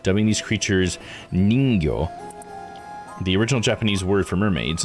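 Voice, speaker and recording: A tired man streaming a game live, reading its text aloud with casual asides and correcting himself on tricky names. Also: low-pitched. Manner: stumbles over foreign names and self-corrects.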